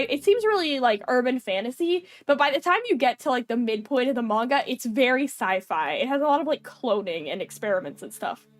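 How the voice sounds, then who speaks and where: Nasal young woman, high voice. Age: young adult. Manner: chatty, lots of fillers and giggles. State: stuffy runny nose. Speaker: streamer. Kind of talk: casual chat with viewers.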